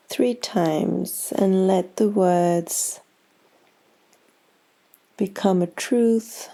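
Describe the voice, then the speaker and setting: A woman guiding a yoga nidra meditation in a calm, soothing, unhurried manner with long pauses. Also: mid-range voice, poor audio. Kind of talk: guided meditation.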